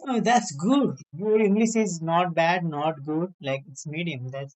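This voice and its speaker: A man's voice: a little high, measured pace, low-quality recording. A young man from Nepal, hosting a casual video chat in simple, broken English with emphatic bursts. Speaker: channel host.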